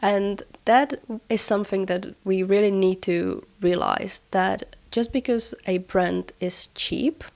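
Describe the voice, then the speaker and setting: A woman's voice: medium pitch, steady tone, takes it slowly, gravelly, a little mellow. A woman hosting a podcast in a casual, explanatory style while she has a cold, informal and often rewording herself as she goes. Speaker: podcast host.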